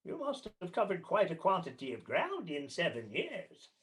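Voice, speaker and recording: A performer playing a crotchety, skeptical old male miser in a radio play, speaking haltingly and theatrically. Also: medium pitch, huge pitch swings, a bit bright. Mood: curious, skeptical. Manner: halting, theatrical, dismissive turning to pleading.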